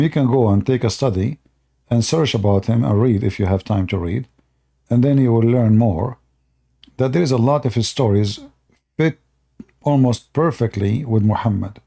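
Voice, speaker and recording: A man with an Arabic accent explains at length on a live stream, circling back and repeating his points. His voice is husky with a gravelly edge and a dark, mellow tone. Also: mid-range voice. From Middle East. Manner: unscripted explaining, with fillers and repetition.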